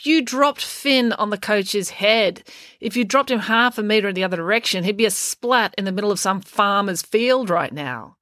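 A woman's voice: mid-range voice, animated, moderate pace, a bit bright, no echo, studio quality, voice pushed up, natural voice. A woman voicing a blunt, sarcastic young girl who snaps scornful retorts in a story.

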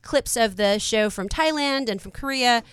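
A fifty-year-old woman talks in a smooth, clean voice, rambling along with lots of fillers and restarts. Her pitch sits a little high, and the sound is dry and close. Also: moderate pace, dry recording. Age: 50s. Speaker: guest actress. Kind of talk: casual talk show chat.

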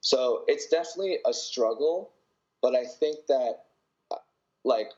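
A young man from Canada, a college volleyball player, answering interview questions in a relaxed way with many fillers. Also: medium pitch, measured pace, lo-fi sound. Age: young adult.

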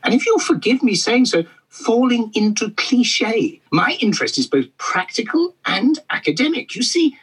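Eccentric prof done by a guy, husky voice, bounces all over pitch-wise, pompous. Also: fairly bright tone, exaggerated voice, low-quality recording. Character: eccentric half-Swedish male furniture professor. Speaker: guest expert. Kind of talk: comedy podcast interview.

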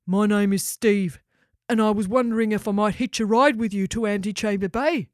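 A woman's voice: medium pitch, moderate pace, a little mellow, dry recording, clean studio sound, natural voice. Woman as a polite, businesslike male kangaroo.

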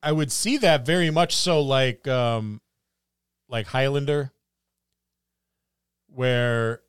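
A man's voice: medium pitch, slow pace, clear, resonant tone, no echo, studio quality. A man co-hosting a casual podcast discussion about tabletop roleplaying, musing aloud and building little imagined scenarios. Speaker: podcast co-host.

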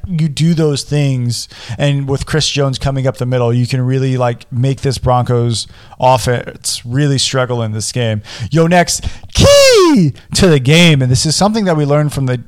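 A young man with a hoarse, worn voice hosts a solo football preview, talking loosely and repeating himself as he builds his points. His pitch sits in the middle for a man, and he gets animated when he makes a point. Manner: animated, repeats words, pumps up with singsong callouts. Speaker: sports podcast host.

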